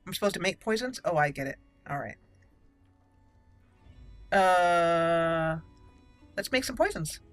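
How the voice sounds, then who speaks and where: A woman's voice: fairly low pitch, lively intonation, slow, long pauses, no room sound, soft background music. A woman hosting a game playthrough, chatting casually and playfully as she thinks aloud. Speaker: gaming channel host.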